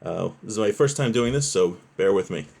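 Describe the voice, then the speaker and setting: A man's voice: lowish voice, lively intonation, a little fast, vocal fry, darkish tone, slight background noise. A man giving an informal religious law lesson, explaining as he goes and using Hebrew terms and phrases. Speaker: rabbi teaching a religious law class. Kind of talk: informal lesson, reading a text aloud and explaining.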